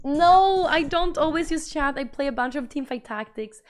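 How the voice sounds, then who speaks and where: A woman's voice: high voice, lively intonation, normal speed. Bubbly Swedish woman chatting with her stream. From Sweden. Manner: chatty, bubbly, repeats herself while reading chat. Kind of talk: casual livestream chat reading messages.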